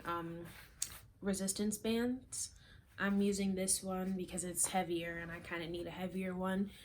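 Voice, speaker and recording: A young woman from South Florida chatting casually to camera as a fitness vlogger, rambling with frequent ums and soft trailing asides. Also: fairly low pitch, slight background noise. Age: young adult. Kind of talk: casual chat and workout instruction. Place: small room, plain wall, indoors.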